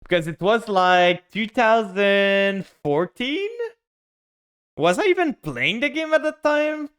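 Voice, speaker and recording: A man's voice: high voice, big pitch swings, slow pace, fairly bright tone, clear, resonant tone. A man with a French-Canadian accent, streaming a card game and talking his moves through with chat. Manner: thinks aloud, narrating each play to chat. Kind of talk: casual game stream commentary.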